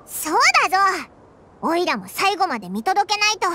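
A woman voicing a tiny, chirpy, boastful floating fairy companion in a game, with a squeaky, excitable voice. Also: a little fast, heavy background noise. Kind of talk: Japanese game voice acting.

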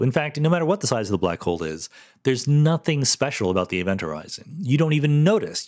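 A man's voice: medium pitch, darkish tone. A middle-aged man hosting a solo listener-question podcast, explaining science in a thoughtful, conversational way and checking in with "right?" and "okay?".

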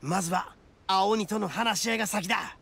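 An actor playing a brash, hot-headed but loyal young oni gang boss in an anime-style game, talking rough and blunt. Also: male voice, sits high, normal speed, fairly bright tone, heavily acted. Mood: tense, worried.

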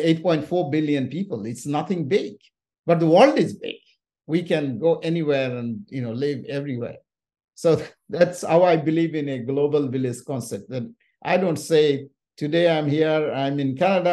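Male summit moderator with a fairly high voice, emphatic and animated, on a video call. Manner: animated, emphatic, sometimes shouting. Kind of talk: casual chat introducing a speaker.

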